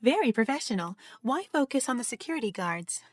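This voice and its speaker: A polite female computer assistant in a sci-fi audio drama , voiced by a woman. The voice sits on the high side and is recorded dry. Every phrase is formal and measured. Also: sing-song, no echo.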